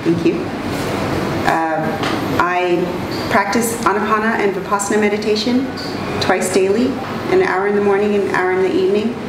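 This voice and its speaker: A woman raised between Nigeria and the United States speaks calmly and reflectively in a class. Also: mid-range voice. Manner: calm, measured, reflective. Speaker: class participant. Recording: echoey room, distant mic, loud hum.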